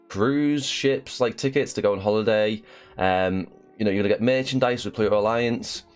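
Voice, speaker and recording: Young host with a slight cough, low smooth voice, chatty and filler-heavy. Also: male voice. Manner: chatty, with frequent "uh" and "um". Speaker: channel host. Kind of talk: casual promotional video.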